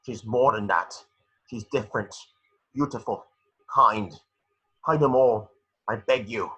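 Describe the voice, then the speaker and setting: Man acting anguished, low-voiced bitter wizard. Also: measured pace, bright, forceful tone, slightly rough, full character voice. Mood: desperate pleading. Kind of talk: screenplay table read acting.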